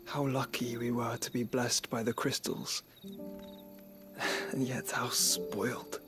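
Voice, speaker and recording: An actor playing a gentle, solemn young nobleman, weary and wounded, speaking formally in a soft, breathy voice near a whisper. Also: medium pitch, flat delivery, music in the background, natural voice. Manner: measured, formal, reflective speech. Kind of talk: game cutscene acting.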